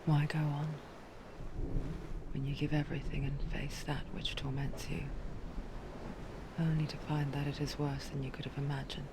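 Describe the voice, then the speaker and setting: A woman acts as a solemn storyteller in a dark video game. Her delivery is hushed, measured and very even in pitch. Loud ambient noise sits behind the voice. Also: natural voice. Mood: brooding. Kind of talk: scripted video game narration.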